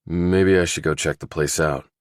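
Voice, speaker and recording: A man's voice: a bass voice, fairly even intonation, moderate pace, dry recording, clean studio sound. A man voicing a guarded, terse ex-soldier working undercover, in understated audiobook dialogue. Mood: questioning.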